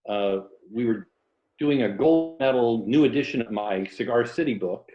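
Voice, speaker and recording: A mature man with a mid-pitched voice speaks in a casual, reflective storytelling way as an interview guest. The sound is roomy and slightly dull, like a lo-fi call. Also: moderate pace, mellow. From Tampa, Florida.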